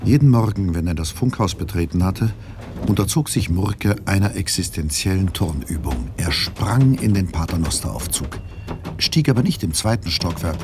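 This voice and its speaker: An actor as a wry storyteller, narrating a literary radio play deliberately with dramatic pauses. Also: male voice, sits low. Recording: clear background ambience noise. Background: ambient noise.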